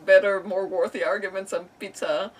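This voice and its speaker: A woman's voice: mid-range voice, fairly even intonation, normal speed, recorded from a distance, poor audio. A woman live-streaming a game, chatting casually in a rambling way and often interrupting herself. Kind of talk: casual livestream chat and reactions over a game.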